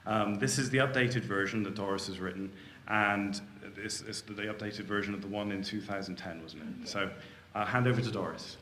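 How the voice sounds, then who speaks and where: A man giving an informal, slightly halting introduction as an event host. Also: on the low side, fairly even intonation. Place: indoor conference banquet room. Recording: echoey room with faint noise.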